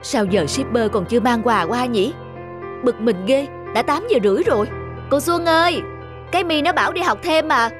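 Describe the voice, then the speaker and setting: A woman's voice: a little high, animated, normal speed, natural voice. A woman playing a busy, fretful middle-aged mother in a cartoon drama, with music behind. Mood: irritated, fretful. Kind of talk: Vietnamese cartoon drama dubbing.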